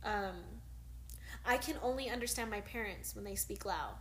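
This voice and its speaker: A woman's voice: mid-range voice, normal speed, slight background noise, rough recording. A woman chatting casually and informally, dotting her sentences with "like" as a podcast co-host. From United States. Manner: informal, uses many likes. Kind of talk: casual chat.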